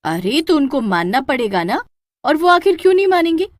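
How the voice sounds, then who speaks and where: A woman plays an eager young college girl in a cartoon story, high and swooping in pitch. She is emphatic and encouraging, pushing her point with bright exclamations, in a close, dry recording. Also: big pitch swings, moderate pace, no echo. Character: excitable, encouraging college-girl best friend. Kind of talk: animated cartoon story dialogue.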